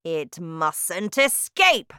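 A woman voicing a cruel, imperious head witch in a storybook, barking drawn-out, menacing orders at her underlings. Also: lowish voice, very animated, bright, forceful tone, clean tone, no room sound, studio quality, exaggerated voice. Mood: menacing, commanding. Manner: commanding, drawn-out, menacing delivery.

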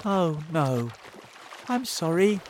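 A man voicing a thoughtful, resourceful young boy in a storybook, earnest and deliberate. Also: a little high, huge pitch swings, noisy background, pitched above the performer's own voice, full character voice. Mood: sad.

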